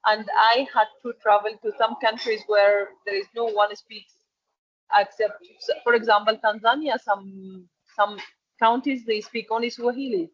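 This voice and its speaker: A woman whose first language is Arabic speaks earnestly in a fairly high voice. She often stops and restarts her sentences. The sound is dull and dry, with no room around it. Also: fairly even intonation, no room sound. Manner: earnest, fast and halting, with frequent restarts. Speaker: interview guest, export manager. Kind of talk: conversational interview.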